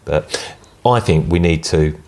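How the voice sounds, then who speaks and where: A man's voice: a bass voice, slow, darkish tone. A man co-hosting a casual chat in a hair salon, asking probing, opinionated questions into a handheld mic. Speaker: co-host.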